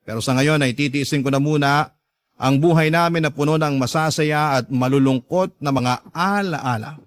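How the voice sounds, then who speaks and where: Male Filipino radio narrator, slightly high, very dry sound, earnest delivery of a scripted personal letter. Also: normal speed, no room sound. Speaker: radio host reading a listener's letter. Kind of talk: dramatic scripted storytelling.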